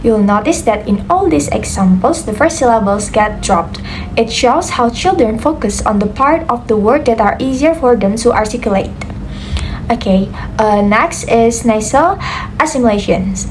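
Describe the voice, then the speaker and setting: A young woman gives a class presentation, pausing often with "uh" and "okay". Her voice sits a little high. A faint hiss of noise runs under the recording. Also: lively intonation. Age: young adult. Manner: hesitant, frequent "uh" and "okay". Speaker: student presenter.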